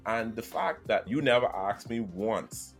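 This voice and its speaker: A man from the Bahamas with a thick Bahamian accent, hosting a podcast in animated, laughing chat. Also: on the low side, unhurried, faint music behind. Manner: animated, laughs while talking. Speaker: podcast host.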